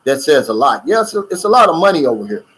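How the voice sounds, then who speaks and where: A man's voice: on the high side, very animated, fast, fairly bright tone. A middle-aged man hosting a casual livestream, reading viewer comments and chatting loosely with lots of fillers and asides. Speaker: YouTube channel host. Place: lives in the Philippines.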